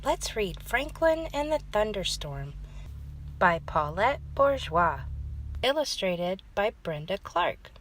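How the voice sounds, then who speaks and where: A woman's voice: mid-range voice, big pitch swings, slow, a little hiss or hum, poor audio. A woman hosting story time, reading a children's storybook aloud as narrator.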